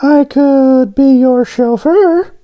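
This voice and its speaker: A man voicing a small, eager male talking doughnut in a storybook, with a squeaky, childlike falsetto. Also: slow, muffled recording. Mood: eager, upbeat.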